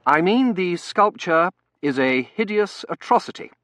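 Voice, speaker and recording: Male narrator plays an impassive manservant: formal, deliberate, politely contemptuous, somewhat high-pitched, audiobook. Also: animated, a bit bright, no echo, studio quality. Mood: blunt, disdainful. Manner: formal, deliberate, coolly disdainful. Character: impassive, blunt, deferential manservant with educated contempt. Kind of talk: audiobook character voice.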